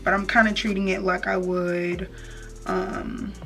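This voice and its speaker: A woman's voice: fairly low pitch, level tone, unhurried, sharp tone. A young adult woman chatting casually and playfully in slangy speech, like a beauty vlogger giving a hair tutorial. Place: indoor room, plain wall backdrop. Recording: slightly distant mic, faint music.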